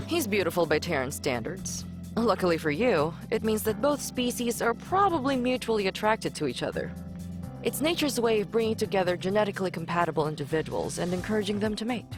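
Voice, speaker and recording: An actress voicing a playful, curious alien woman crewmate in a visual novel game, with a fairly deep female voice and a probing manner. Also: sing-song, a little fast, music in the background, lightly acted. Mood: amused, knowing. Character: teasing, curious alien crewmate woman with fangs and furry ears.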